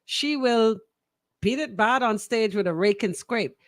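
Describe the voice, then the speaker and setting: A woman's voice: on the high side, a little slow, dry recording, clean studio sound. A woman with a Bahamian accent hosting a radio discussion, arguing her opinions emphatically and repeating phrases for weight. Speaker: radio talk show host.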